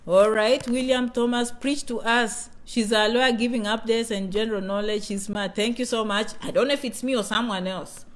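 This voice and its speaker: A woman's voice: a little high, steady tone, moderate pace, hardly any pauses. A woman with a Kenyan accent, chatting casually on a livestream as a vlogger, reading comments and often asking "you know?". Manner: chatty, repeats herself, keeps asking "you know?" and "okay?".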